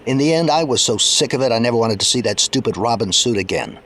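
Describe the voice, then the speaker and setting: A man plays a middle-aged engineer in an audio drama, an ex-sidekick gone bitter. He talks casually and wryly at a medium pitch, with resignation, over faint noise. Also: lively intonation, quick pace, fairly bright tone, close to an everyday voice. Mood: bitter, resigned.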